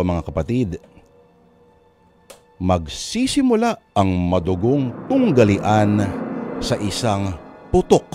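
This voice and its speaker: A man hosting and narrating a radio drama in a theatrical, suspenseful storytelling style. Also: lowish voice. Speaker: host and narrator. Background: music.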